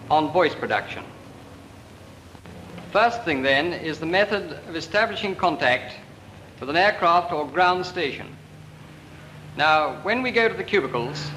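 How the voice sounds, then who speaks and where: A man's voice: fairly high pitch, sharp tone. A man playing a flying instructor in a 1940s British film, giving clipped, formal instructions in a British accent. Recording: echoey old film soundtrack with faint hiss.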